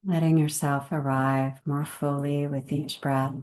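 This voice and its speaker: A woman leading a guided meditation in a gentle, unhurried way, with measured phrases and long pauses. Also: low-pitched, level tone.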